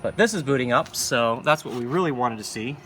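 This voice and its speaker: A man's voice: medium pitch, expressive, fairly quick, fairly bright tone, slight background noise, poor audio. A man giving casual, unscripted hands-on commentary as a hobbyist, offhand and often muttering to himself while he handles equipment. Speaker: hobbyist arcade machine video maker.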